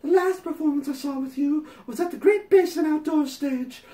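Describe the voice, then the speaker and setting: A woman's voice: high-pitched, moderate pace, dark tone, lightly acted. A woman playing an earnest, apologetic, gushing letter-writer in a theatrical, mock-dramatic reading, recorded in an echoey room. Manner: theatrical, overwrought.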